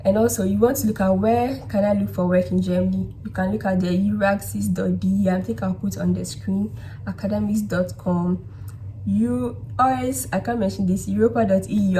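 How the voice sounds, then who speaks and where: Warm-voiced young woman giving casual advice. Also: mid-range voice, a little hiss or hum, low-quality recording. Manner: chatty, frequent "like" and "okay?" check-ins. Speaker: vlogger giving study and job advice. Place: indoors at a laptop.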